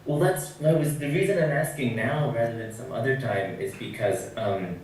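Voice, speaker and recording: A man's voice: mid-range voice, moderate pace, a little mellow. A man asking a teacher questions as a student, careful and hesitant, with roundabout phrasing, distant in an echoey space. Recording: echoey, distant, faintly noisy microphone.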